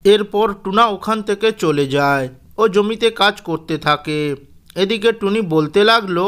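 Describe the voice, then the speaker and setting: Guy narrating a cartoon folk tale, pretty high voice, really smooth and clear. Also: moderate pace, fairly bright tone. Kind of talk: scripted cartoon story narration.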